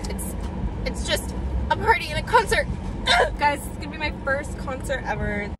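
A woman's voice: high voice, big pitch swings, moderate pace, crowd noise. A young woman bantering casually, with a blunt, teasing way of talking. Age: young adult. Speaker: vlogger's friend, passenger. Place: inside a car. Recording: distant mic, loud car noise.

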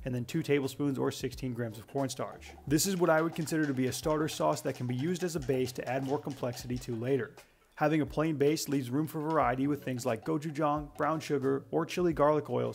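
A man in his twenties narrating a cooking tutorial as a voice-over, brisk and matter-of-fact with dry humor. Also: mid-range voice, creaky. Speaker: cooking channel host.

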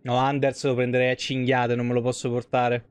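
A man's voice: medium pitch, monotone, brisk, clean tone, no echo. A man in his thirties doing casual live game commentary and chatting with viewers, informal and swearing freely. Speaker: live streamer.

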